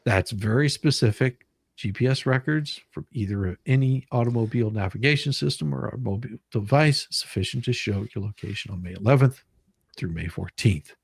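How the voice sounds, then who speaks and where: A man's voice: medium pitch, brisk, gravelly, husky, dry recording. Emphatic man commentating live, dry close sound. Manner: emphatic, repeats and explains points. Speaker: livestream host. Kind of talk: casual live commentary while reading legal documents aloud.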